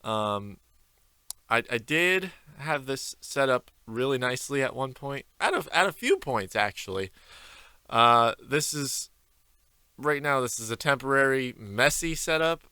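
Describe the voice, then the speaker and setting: A man's voice: medium pitch, sing-song, a little slow, sharp tone, clear, resonant tone, dry recording. A young man hosting a solo podcast from his basement, chatting freely and rambling with lots of fillers. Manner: rambling, freestyle with many fillers. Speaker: podcast host. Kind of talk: casual solo podcast chat. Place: home basement with concrete walls. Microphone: desk microphone on camera.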